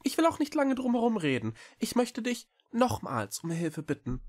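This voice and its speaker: A woman's voice: a little high, wildly swooping pitch, normal speed, a little mellow, voice pushed up. A woman voicing a small, earnest, polite male cat-like creature in an audiobook, with an eager, pleading manner. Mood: polite, urgent. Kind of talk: audiobook character voice.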